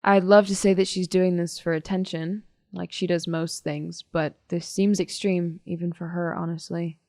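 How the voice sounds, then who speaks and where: Sardonic, curt high school girl played by a woman, lowish voice, dry close sound, audio drama interview. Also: flat delivery, moderate pace, a little mellow, dry recording, close to an everyday voice. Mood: defensive. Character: bitter, blunt high school girl, estranged friend of missing girl. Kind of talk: naturalistic audio drama police testimony.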